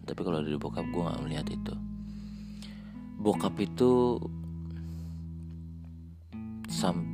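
Man, late 20s, deep gravelly voice, hesitant reflective storyteller, guitar music behind. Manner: reflective, hesitant, many fillers and restarts. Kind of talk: personal storytelling monologue.

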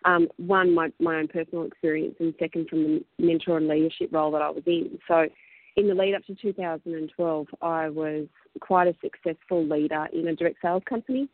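A woman in her thirties or forties from Australia, speaking in a husky late-night voice as a radio guest and telling her story in a measured way. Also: low-pitched, steady tone, no room sound. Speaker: guest, women's mentor. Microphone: phone line. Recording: tinny phone-line audio.

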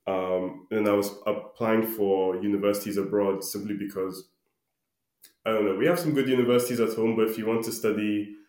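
A man's voice: lowish voice, fairly even intonation, fairly quick, darkish tone. A man in his late twenties from Ghana, answering casually as a podcast guest in an informal, laid-back way. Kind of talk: casual conversational interview answers. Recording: roomy, echoey voice recording.

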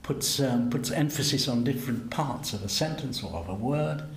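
A man's voice: medium pitch, expressive, normal speed. Older guy chatting about music, wry and musing, roomy sound with faint hum. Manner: musing, asks tag questions, chuckles at himself. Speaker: cellist and guest. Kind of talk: conversational interview.

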